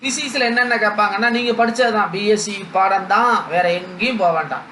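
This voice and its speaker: Casting: mature male coaching teacher, Tamil accent, high voice, didactic delivery, echoey recording. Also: brisk, bright, forceful tone. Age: middle-aged or older. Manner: didactic, reading out numbered lists with frequent check-in questions. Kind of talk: instructional lecture to students. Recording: echoey room with background noise.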